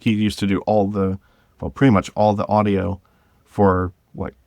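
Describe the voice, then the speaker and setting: A man talking casually and enthusiastically as an interview guest, in an informal, halting way. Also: fairly low pitch, little pitch movement, darkish tone, no room sound. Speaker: podcast guest, drama creator.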